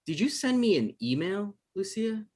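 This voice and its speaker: A man's voice: sits high, sing-song, moderate pace, darkish tone. A man playing an anxious, apologetic man who gets defensive, stammering naturally as in a live drama table read. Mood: irritated, defensive.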